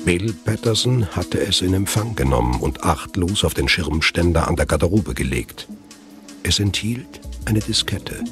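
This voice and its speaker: Very deep male thriller narrator. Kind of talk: scripted thriller audio drama narration.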